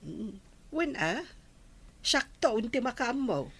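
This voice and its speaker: A woman's voice: a little high, very animated, slow pace, fairly bright tone, husky, full character voice. An actress with an Ilocano accent playing an inquisitive village woman in a comedy drama. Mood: curious.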